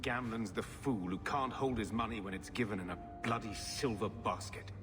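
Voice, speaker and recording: Actor as a grumbling, shifty uncle, echoing hall. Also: male voice, fairly low pitch, normal speed, music in the background, natural voice. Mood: bitter. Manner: grumbling, hedging, self-pitying. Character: shifty, bitter middle-aged uncle down on his luck. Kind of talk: video game drama acting.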